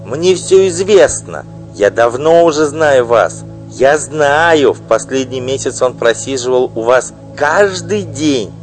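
Male reader playing a high, loud, furious wife in a storybook, faint music behind. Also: animated, measured pace. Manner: shrill, pleading and accusing. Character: indignant wronged wife, middle-aged woman. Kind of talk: audiobook reading.

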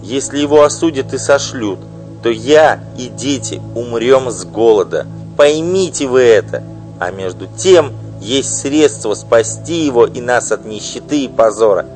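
A man voicing an indignant wronged wife who pleads and accuses in a high, loud voice for an audiobook. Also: expressive, measured pace, soft background music. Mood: pleading. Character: indignant wronged wife, middle-aged woman. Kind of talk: audiobook reading.

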